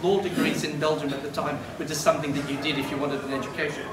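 A man's voice: a little high, fast talker. A man in his fifties from Argentina, whose was Spanish, telling a rambling story at a memorial. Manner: rambling, animated, gestures broadly with both hands. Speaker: eulogist. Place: glass-walled room by a beach. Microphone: far camera. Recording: roomy and distant with noise.

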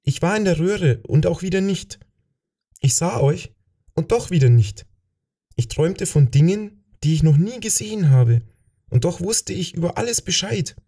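An exhausted man as an audiobook narrator acts him out speaks in a voice pushed high. He is full of wonder and halting as he recounts his visions, with a dark, soft tone. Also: lively intonation, breathy edge, heavily acted. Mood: awed, exhausted.

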